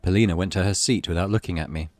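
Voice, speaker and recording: A man's voice: low voice, very fast, a little hiss or hum. A man giving a theatrical, suspenseful reading of a horror visual novel. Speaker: gaming channel host reading aloud. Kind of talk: dramatic scripted narration of a horror visual novel.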